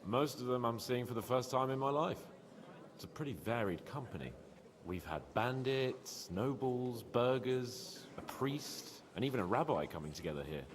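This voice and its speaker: A man's voice: medium pitch, normal speed, a little hiss or hum, lightly acted. An actor playing a cocky, jovial medieval nobleman in a video game, teasing and wry, fond of pleasure. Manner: teasing, animated. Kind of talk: video game dialogue acting.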